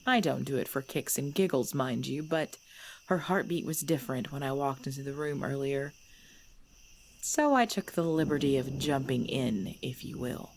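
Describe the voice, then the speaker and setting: A woman playing a centuries-old female vampire clan leader in an ASMR audio drama, composed and commanding, speaking in measured, formal phrases. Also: very deep voice, darkish tone. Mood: calm, assured. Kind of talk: ASMR audio roleplay acting. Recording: background noise with chirping crickets.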